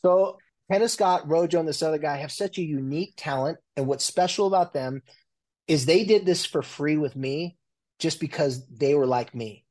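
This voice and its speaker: A man's voice: fairly high pitch, moderate pace, darkish tone. A man in his forties, a therapist, talking loosely in a casual interview with many fillers and restarts. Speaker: guest, family and marriage therapist.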